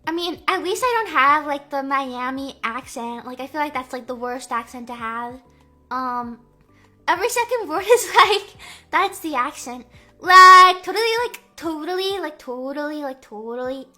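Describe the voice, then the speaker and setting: A young woman from the Midwest chatting playfully on a live stream, answering chat guesses, full of "like" fillers and drawn-out vowels. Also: high voice, expressive, pushed and bright, faint music behind. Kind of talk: casual live-stream chat reading comments.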